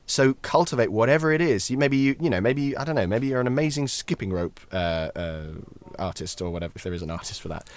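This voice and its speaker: A man's voice: mid-range voice, expressive, fast, few pauses. A man chatting as a podcast guest, rambling and jokey, often interrupting himself as he gives anecdotes and advice. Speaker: guest, voice actor and voiceover tutor.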